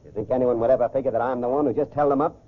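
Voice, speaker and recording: A man's voice: medium pitch, fairly even intonation, rapid-fire, natural voice. Guy acting bossy ruthless robber in an old 40s radio crime show, muffled lofi sound. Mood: smug, hurried. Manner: barking, commanding, threatening. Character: ruthless, boastful gentleman stickup robber, scheming and menacing. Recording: dull lo-fi 1940s radio recording.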